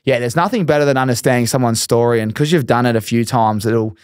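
A man, hosting a podcast in a relaxed, rambling way. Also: medium pitch, quick pace, clear, resonant tone, no room sound, studio quality. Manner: easygoing, rambling, says mate and reckon. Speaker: podcast host. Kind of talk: casual chat. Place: small podcast studio. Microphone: studio mic on boom arm.

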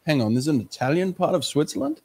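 A man's voice: on the high side, animated, fast talker, darkish tone. A man in his forties hosting a casual podcast over a video call, asking incredulous, playful questions. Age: 40s. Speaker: podcast host.

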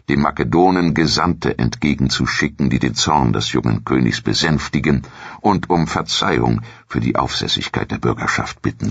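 Very deep, gravelly male narrator. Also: fairly bright tone, no room sound, lo-fi sound. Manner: measured, formal read delivery. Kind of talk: scripted documentary audiobook narration.

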